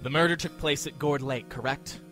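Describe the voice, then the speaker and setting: An actor playing an earnest, easily flustered young defense lawyer with a wry streak in an anime-style audio drama. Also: male voice, on the high side, fairly even intonation, normal speed, music in the background, close to an everyday voice.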